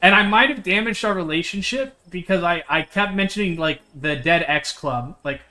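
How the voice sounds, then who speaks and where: A young man streaming a visual novel, chatting casually with his viewers. He second-guesses himself out loud, restarts his sentences and swears casually. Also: high voice, normal speed. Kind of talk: casual live-stream commentary while playing a visual novel.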